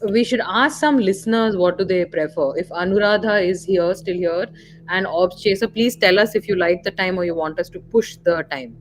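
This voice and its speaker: A woman's voice: on the low side, normal speed. A woman with an Indian accent chatting casually as co-host of a live podcast. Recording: noisy live-stream audio.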